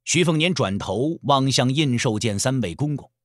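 A man's voice: medium pitch, expressive, moderate pace, a bit bright, no room sound, clean studio sound. A man narrating a martial-arts novel as a scripted audio drama, telling the story in the third person.

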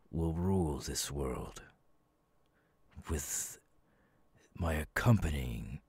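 A man voicing a menacing, imperious demon lord, cold and cruel, with a husky, breathy voice, in an ASMR audio roleplay. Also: a bass voice, dry recording, full character voice. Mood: cold, haughty. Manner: slow, deliberate, commanding, threatening.

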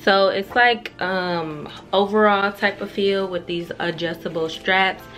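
A woman's voice: mid-range voice, fairly even intonation, unhurried. A young woman vlogging a fashion try-on in a bedroom, chatting casually and candidly with slang and swearing. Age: young adult. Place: carpeted bedroom indoors. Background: music.

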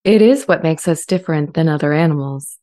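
A friendly, self-aware artificial intelligence voiced by a woman in a scripted dramatization. The voice sits low and is soft-edged and mellow. Delivery is measured, articulate and earnest, close on a studio mic. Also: natural voice. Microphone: studio condenser mic with pop filter, close.

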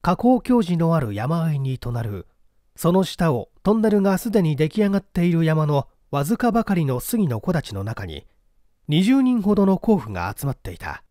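A man's voice: fairly high pitch, big pitch swings, moderate pace, warm tone, no room sound, clean studio sound. A man reading a mystery story aloud as a dramatic, scripted narration. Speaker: audiobook reader.

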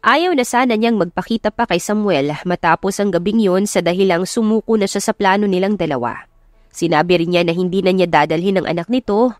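A woman's voice: medium pitch, dry recording, clean studio sound. A woman narrating a scripted romance story in a dramatic audiobook style. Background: music.

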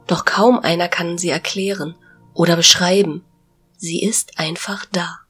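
A woman voicing a gentle, wise young goddess of nature in a fantasy parody audio drama, calmly lecturing. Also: fairly low pitch, a little slow, faint music behind. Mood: gentle, philosophical. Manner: calm, lecturing.

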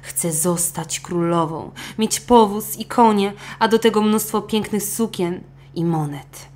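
A woman's voice: medium pitch, unhurried, a little mellow, voice pushed up, natural voice. A woman voicing a haughty, demanding fisherman's wife turned queen in a children's storybook, imperious and scornful.

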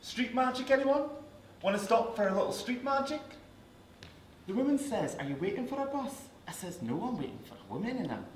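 A young man with a Scottish accent performing a multi-voiced verbatim spoken word piece, switching between overheard voices on a dark theatre stage. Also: extremely high pitch, big pitch swings, a little fast, roomy sound, slight background noise, low-quality recording.

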